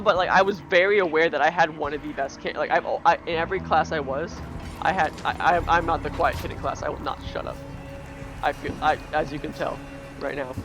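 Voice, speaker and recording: A young woman with a deep voice streaming on a headset, rambling and swearing casually with lots of filler. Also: fairly bright tone. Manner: rambling, restarts, heavy "like" filler, swears freely. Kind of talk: casual livestream chat. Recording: music playing under the voice.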